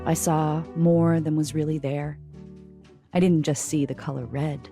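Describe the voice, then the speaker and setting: Wistful romantic played by a woman for audio drama, low, gravelly yet bright, music beneath. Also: steady tone, a little slow. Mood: wistful, intense. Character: wistful romantic woman narrating a love story.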